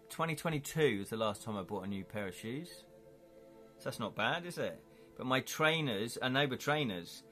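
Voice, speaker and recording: A man with an English accent hosting a casual live stream, chatty and excitable, chuckling often as he unboxes things at home. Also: medium pitch. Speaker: livestream host.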